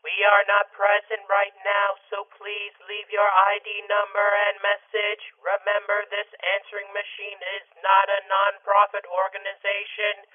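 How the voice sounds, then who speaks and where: A man playing an impersonal guard reading a formal, flat parody answering-machine notice. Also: high-pitched, measured pace, few pauses. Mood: officious. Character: impersonal, monotone guard delivering official notice. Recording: tinny phone-like audio, faint music.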